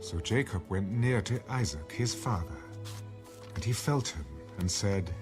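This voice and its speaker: A man narrates scripture in a storytelling style. His voice is low and lively in pitch, with measured phrasing. Music is clearly heard beneath him. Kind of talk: scripted audio Bible narration. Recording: background music under the voice.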